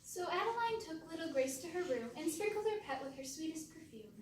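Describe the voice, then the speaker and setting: A woman's voice: very high-pitched, sing-song, a little fast. A teenage girl telling a rhyming story as a narrator in a readers theater show. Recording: echoey hall, distant mic, faint noise.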